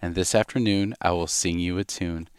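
A man reading as a kindly, contented little bird, in a lilting, measured storybook rhyme. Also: low-pitched, fairly even intonation, crowd noise, voice pushed down, natural voice. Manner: lilting, measured rhyming recital.

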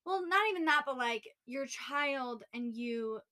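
Bright-voiced young woman chatting candidly. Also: high-pitched, lively intonation, measured pace. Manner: candid, rambling storyteller with many fillers. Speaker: podcast co-host. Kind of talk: casual chat.

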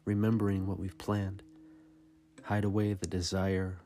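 A man giving a dramatic, scripted reading of poetry in a somber, measured and deliberate manner. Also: low voice, little pitch movement. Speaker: podcast host reading poetry.

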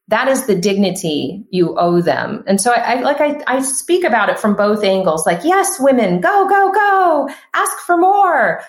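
Podcast guest, woman, 30s, punchy conversational delivery, echoey room. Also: mid-range voice. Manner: emphatic, animated, frequent restarts. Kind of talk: casual conversational interview.

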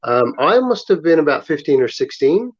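A man's voice: on the high side, big pitch swings, normal speed, husky, dull audio. A man in his thirties from Kuwait with an international school English accent, chatting casually and rambling through stories as an interview guest. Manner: talkative, self-deprecating, hesitant phrasing. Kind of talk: casual, rambling conversational storytelling.

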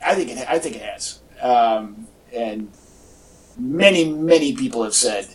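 A male podcast host chats casually at a middling pitch, rambling along with a wry, jokey streak. He sounds set back from the microphone, as in a homemade recording. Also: lively intonation, a little slow. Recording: distant mic, echoey in parts.

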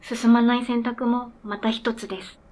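A woman's voice: fairly high pitch, little pitch movement, moderate pace, a little mellow, natural voice. A woman playing an earnest, polite talking snowmobile in a radio drama, speaking formally and matter-of-factly. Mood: deadpan.

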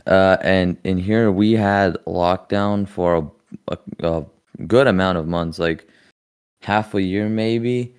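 Man, very deep voice, laid-back podcast host, smooth, chatty. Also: slow. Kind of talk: casual podcast interview.

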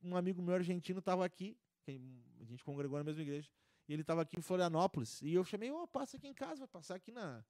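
A man's voice: high voice, animated, very fast, a little mellow, no room sound, clean studio sound. A man, a podcast guest telling travel stories in casual chat, full of restarts and fillers. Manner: rambling storyteller with many restarts and fillers.

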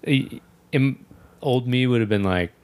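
A man's voice: medium pitch, measured pace, a little mellow, clear, resonant tone. A man in his mid thirties hosting a casual interview, easygoing and chatty with lots of "yeah" and "like". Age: 30s. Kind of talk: casual conversation. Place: indoor studio with mic arms.

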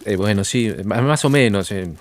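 A man's voice: fairly low pitch, normal speed, slight background noise, lightly acted. A man playing a flustered middle-aged husband. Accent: Rioplatense Spanish. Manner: hesitant, flustered. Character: awkward embarrassed married man, middle-aged.

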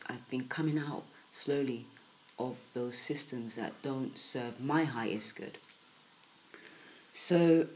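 A woman with a croaky early-morning voice giving a soft, unhurried spiritual podcast talk, with many pauses and fillers. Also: a bass voice, a little hiss or hum, low-quality recording.

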